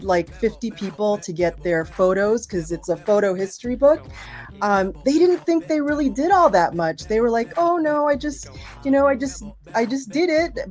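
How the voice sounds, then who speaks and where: A woman's voice: fairly high pitch, darkish tone. A woman college professor chatting as a guest on a live show, talking freely with lots of fillers and asking the audience questions. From Boston area, USA. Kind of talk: casual live interview chat.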